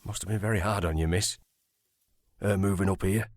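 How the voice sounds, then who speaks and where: Actor as gentle stableman, dry sound. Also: low voice, fairly even intonation, brisk, no room sound, lightly acted. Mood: sympathetic. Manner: plain-spoken, hesitant, respectful. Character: gentle, deferential stableman on a moorland estate. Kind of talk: period audio drama acting.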